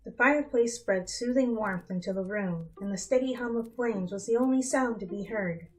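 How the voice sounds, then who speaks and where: A woman's voice: mid-range voice, moderate pace, a little mellow. Woman reading a dramatic story over music. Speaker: fan fiction reader. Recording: music bed behind the voice. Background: instrumental music.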